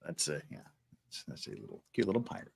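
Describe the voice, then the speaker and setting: A man's voice: sits low, sing-song, fast, gravelly, darkish tone, breathy edge. A man in his fifties hosting a livestream, chatting casually and reading out viewer comments in a rambling, jokey, giggly way. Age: 50s. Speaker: livestream host.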